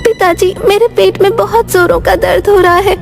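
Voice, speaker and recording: A woman voicing a sweet, earnest little village girl in an animated moral story. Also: very high-pitched, moderate pace, gravelly, faint music behind, voice pushed up. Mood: in pain. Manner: childlike, earnest.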